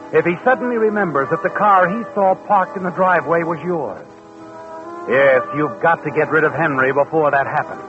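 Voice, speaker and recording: An actor playing an ominous all-knowing narrator, taunting and deliberate, in the style of 1940s radio mystery. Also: male voice, on the high side, lightly acted. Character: ominous omniscient male narrator addressing the culprit. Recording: dull vintage radio recording. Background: music.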